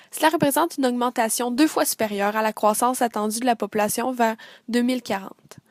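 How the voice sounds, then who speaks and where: Woman, scripted documentary voice-over, fairly high voice, dry close sound. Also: darkish tone, no room sound. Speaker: narrator.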